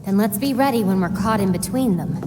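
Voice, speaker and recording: An actress playing an earnest, determined young superheroine in a video game cutscene. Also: female voice, mid-range voice, expressive, a little fast, close to an everyday voice. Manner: earnest, serious. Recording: reverberant hall with clear background noise.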